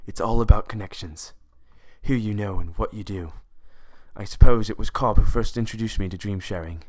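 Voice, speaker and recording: Man playing a low-voiced, assured forger and mentor. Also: moderate pace, lightly acted. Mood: explaining. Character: confident male dream forger, a calm, knowing mentor. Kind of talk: fan audio drama acting.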